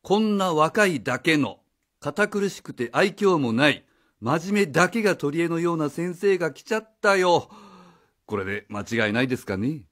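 A man's voice: a little high, animated, normal speed, fairly bright tone. A man playing a flustered, evasive, cheeky father who drives a taxi, stammering and blustering in a radio drama. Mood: defensive, sarcastic. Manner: stammering, blustering, casual speech. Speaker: voice actor.

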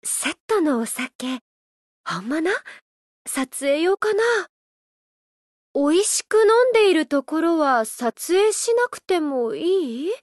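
A woman voicing a gentle, playful idol who loves a drink, speaking politely and teasingly in a mobile game. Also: high voice, sing-song, no room sound, clean studio sound, exaggerated voice. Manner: polite, unhurried, teasing, softly flirtatious. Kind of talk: Japanese mobile game character voice lines.

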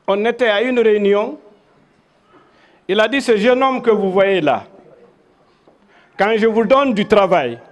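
An older man with a Guinean accent giving a measured, oratorical public speech with personal recollections into handheld microphones. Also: high voice. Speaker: veteran local dignitary. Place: crowded covered event venue. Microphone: handheld microphones held in front.

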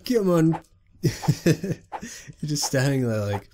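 A sick young man giving casual, excitable let's play commentary on a retro game, swearing often and talking to the characters on screen. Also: on the high side, takes it slowly, slight background noise. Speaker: gaming YouTuber.

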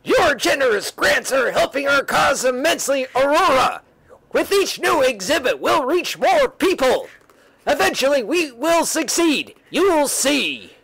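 A man voicing an earnest, bombastic comic-book superhero in a hammy, melodramatic, rough voice. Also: very high voice, sing-song, a little slow, a bit bright, full character voice, poor audio. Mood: grave resolve.

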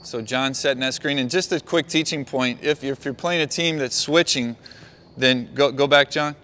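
Bright-voiced man coaching in a gym. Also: mid-range voice, normal speed, a little hiss or hum. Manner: talks players through a walk-through, calls out praise. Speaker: basketball coach. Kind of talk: on-court coaching demonstration. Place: large indoor gym.

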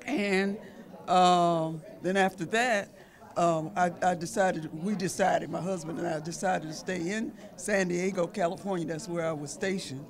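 A woman's voice: fairly low pitch, steady tone, measured pace. A woman in her seventies from Illinois, a veteran at a senior center, telling her story in a chatty way into a handheld mic. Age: 70s. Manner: chatty, with many fillers and restarts. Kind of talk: self-introduction. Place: senior center activity room. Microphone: handheld mic held by host.

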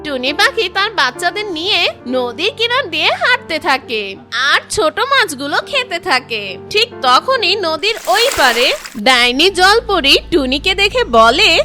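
A woman's voice: very high-pitched, very animated, measured pace, over music. A woman narrating a scripted cartoon story for children.

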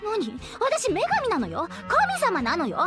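A woman playing a vain, whiny young goddess in an anime audio drama, haughty, dramatic and quick to plead. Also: very high-pitched, huge pitch swings, music in the background, exaggerated voice. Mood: haughty, indignant.

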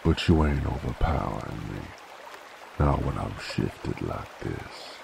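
A gruff werewolf bounty hunter for an audio drama. He drawls slowly in an American Southern accent, folksy and threatening, with a very low, gravelly voice. A stream trickles clearly behind him. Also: lively intonation, background noise, exaggerated voice. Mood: menacing. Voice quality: very deep, gravelly. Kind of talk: roleplay audio acting, drawling cowboy talk. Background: flowing water ambience.

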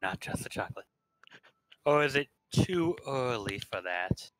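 A man with a mid-range voice reads story narration aloud. His delivery is expressive and playful with casual ad-libs, and the recording is dry. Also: mid-range voice, a little slow, no echo, poor audio. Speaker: narrator in a staged reading. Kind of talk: casual dramatic read-aloud of visual novel narration.

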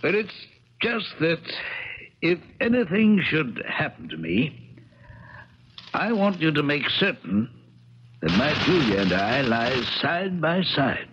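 An actor playing an ailing, fond older uncle with a halting, folksy manner in a 1970s radio drama. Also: male voice, on the high side, big pitch swings, a bit bright, full character voice. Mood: fond, wistful. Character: ailing elderly uncle, fond, evasive, sentimental. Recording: crackly, dull 1970s radio recording.

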